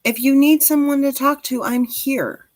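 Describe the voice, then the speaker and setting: A woman's voice: high-pitched, fairly even intonation, unhurried, darkish tone, no room sound. Encouraging woman in her forties, close dry sound. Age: 40s. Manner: encouraging, reassuring, chatty, directly addressing listeners. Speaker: livestream host and coach. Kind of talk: casual livestream chat.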